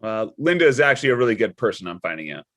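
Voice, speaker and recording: A man's voice: lowish voice, sing-song, fairly bright tone. Man playing a hesitant, earnest deacon dad. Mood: sheepish. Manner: hesitant, halting. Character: awkward, earnest widowed father, a church deacon. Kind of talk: comedy script table read.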